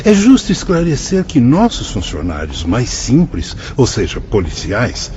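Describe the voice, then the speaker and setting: A solemn, wise spirit guide. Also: male voice, mid-range voice, wildly swooping pitch, unhurried, dark tone, muffled recording, slight background noise. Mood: explaining. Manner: solemn, dramatic, sweeping phrasing. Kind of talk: radio drama acting.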